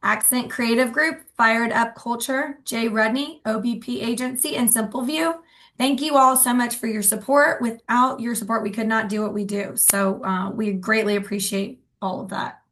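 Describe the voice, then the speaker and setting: A woman's voice: fairly high pitch, steady tone, moderate pace, a bit bright. A woman giving housekeeping announcements before a webinar, friendly, with frequent ums. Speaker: association director introducing a webinar.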